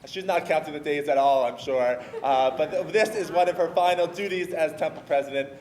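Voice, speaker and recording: Male service leader, casual intro with fillers, slightly high voice, roomy echo. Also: fairly quick, a little mellow. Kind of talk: introducing a speaker. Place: synagogue sanctuary. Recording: echoey sanctuary with faint noise.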